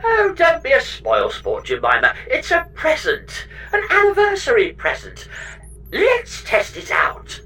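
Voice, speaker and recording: A woman's voice: high voice, wildly swooping pitch, a little slow, fairly bright tone, far from the mic, exaggerated voice. A woman playing a chatty, scatterbrained, possessive spaceship computer in a comedy sci-fi audio drama, with breezy theatrical delivery. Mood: cheerfully oblivious.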